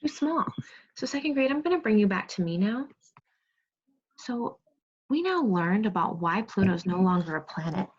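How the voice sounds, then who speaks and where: A woman teaching second grade over a video call, reading aloud, giving clear instructions and prompting pupils with questions. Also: mid-range voice, animated, moderate pace, pauses often. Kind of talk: interactive read-aloud lesson on video call. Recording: dull, muffled video call audio.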